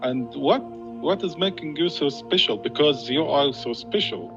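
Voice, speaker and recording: A man hosting an interview who asks questions in a halting way and often repeats words as he restarts. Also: a little high, animated, highs cut off, music in the background.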